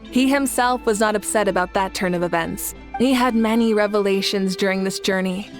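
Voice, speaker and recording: A dignified, kind leader voiced by a woman actor, composed and reassuring at a medium pitch. It is game dialogue with music behind it. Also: normal speed, lightly acted. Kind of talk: video game character acting.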